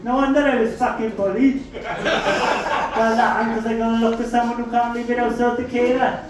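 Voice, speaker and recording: Brash sweary Welsh comic, high voice. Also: male voice, level tone, moderate pace, a little hiss or hum. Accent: South Welsh. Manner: brash, sweary, self-mocking storytelling with punchy raised punchlines. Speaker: stand-up comedian. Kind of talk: stand-up comedy storytelling. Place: comedy club stage. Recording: echoey club recorded from a distance.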